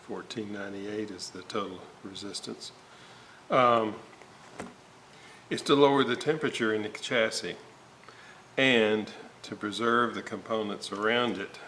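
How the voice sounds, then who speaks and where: A man giving an informal repair walkthrough at a workbench, halting and thinking aloud as he works. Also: lowish voice, pushed and bright. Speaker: host of a radio restoration video series. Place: indoor workbench, hands working on components. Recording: noticeable background noise.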